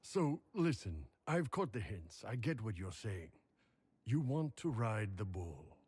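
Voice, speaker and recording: Big laid-back warrior guy from a game, slow low drawl, blunt, some ambience behind. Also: sing-song, darkish tone, slight background noise. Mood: teasing. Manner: blunt, casual. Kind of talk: video game dialogue. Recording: game audio with background ambience.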